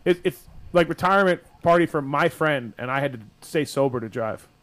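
Brief: thirties man, radio show host, slightly high voice, joking banter, dry studio sound. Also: animated, fairly quick, fairly bright tone, no echo. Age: 30s.

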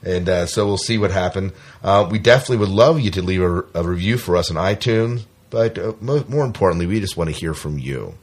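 A man's voice: low-pitched, a little fast, few pauses. A man hosting a podcast in a casual, informal way, with some self-deprecating joking. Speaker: podcast host.

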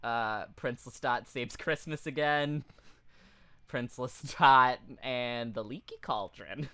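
A man co-hosting a podcast in casual comedic chat, jokey and quick to riff or interject. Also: medium pitch, pushed and bright, clear, resonant tone, no echo. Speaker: podcast co-host.

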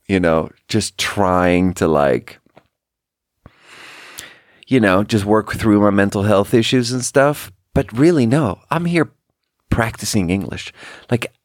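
A man from Sweden, a native Swedish speaker, telling rambling personal stories in a casual, self-deprecating, halting and wry way. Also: on the low side, no echo, clean studio sound. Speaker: podcast host.

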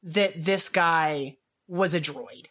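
Chatty, excitable woman co-hosting a podcast. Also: lowish voice, slow pace. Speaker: podcast co-host. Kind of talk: casual podcast chat about a radio drama.

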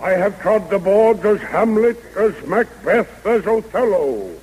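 A man's voice: high voice, lively intonation, unhurried, a little mellow, husky, exaggerated voice. A man playing a pompous Shakespearean tragedian who declaims formally in a 1930s radio comedy. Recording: lightly crackly 1930s radio broadcast.

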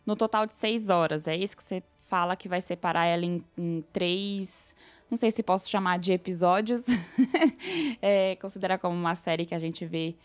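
A woman's voice: on the low side, moderate pace, smooth. A Brazilian woman co-hosting a podcast and interviewing in a casual, conversational way. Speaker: podcast co-host.

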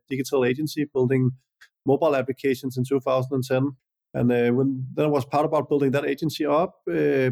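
A man's voice: mid-range voice, darkish tone. Danish man answering, hesitant. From Denmark. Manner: measured, many "uh" and "like" hesitations. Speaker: guest entrepreneur. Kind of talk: casual interview answers.

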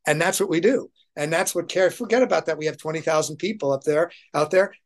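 Higher-voiced man chatting enthusiastically as a guest. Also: fast talker. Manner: enthusiastic, anecdotal. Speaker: interview guest, touring keyboardist. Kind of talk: casual interview chat.

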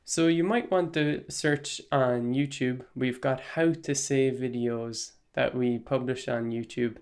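An Irish man hosting a solo podcast, explaining his views in a measured, even-tempered way. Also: mid-range voice, faint music behind. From Ireland. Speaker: podcast host.

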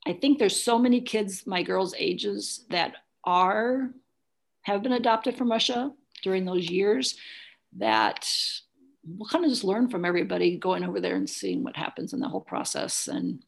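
A woman's voice: mid-range voice, animated, moderate pace, vocal fry. A middle-aged woman talking as an interview guest, recounting personal experience in a plain-spoken, matter-of-fact way. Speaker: interview guest, adoptive single mother.

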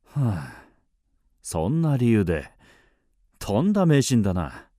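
An actor playing a blunt, sceptical samurai companion with archaic warrior speech in a radio drama. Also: male voice, on the low side, wildly swooping pitch, takes it slowly, warm tone, no echo, studio quality, exaggerated voice. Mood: sceptical, teasing.

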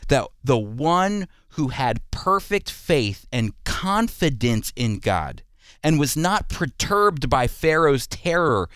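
A man with a smooth, resonant voice at an average pitch delivers a teaching sermon. He explains scripture deliberately and leans on key phrases for weight. The sound is dry and close, with very little room on it. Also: very animated, fairly bright tone, no echo. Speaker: preacher and Bible teacher.

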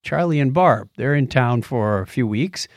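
A man's voice: medium pitch, expressive, moderate pace, no echo, studio quality. A middle-aged man with an American accent hosting a podcast, telling anecdotes in a casual, chatty way. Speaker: podcast host.

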